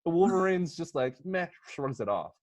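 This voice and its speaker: A man on a podcast panel pitching movie ideas casually and comedically, rambling excitably and acting out little imagined quotes. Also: normal speed, no room sound.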